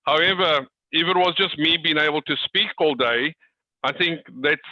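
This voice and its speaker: Guy running a group coaching call, slightly higher voice, repeats himself a lot, dull lo-fi sound. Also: moderate pace, sharp tone, no echo. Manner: repeats words, circles back on phrases. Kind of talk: informal coaching talk addressed to a group. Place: online video call. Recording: dull, lo-fi video call audio.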